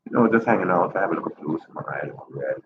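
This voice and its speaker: A man's voice: low voice, lively intonation, quick pace. A man in his fifties with a stuffy nose from a cold, talking casually to camera in his apartment, upbeat and chatty, his voice jumping up when he makes a point. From Jamaica. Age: 50s. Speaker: vlogger. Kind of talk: casual vlog talk to camera. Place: apartment indoors. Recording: roomy lo-fi audio with ambient noise.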